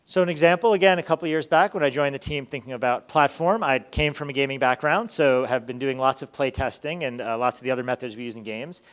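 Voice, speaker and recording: A man's voice: medium pitch, quick pace, hardly any pauses, a bit bright, smooth. A man presenting an informal conference talk as a research lead, conversational, often checking in with rhetorical questions.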